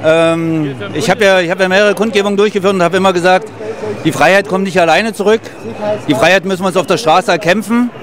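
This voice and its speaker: Protest organizer, man, higher-pitched, fluent and rallying, loud crowd behind. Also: fast. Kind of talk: street interview. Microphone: handheld interviewer mic.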